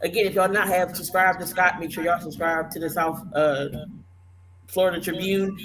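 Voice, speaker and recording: A male sports talk host with a hoarse, worn-out voice, casually wrapping up the show with loose, rambling plugs. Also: sits high, steady tone, normal speed, a crowd in the background, low-quality recording.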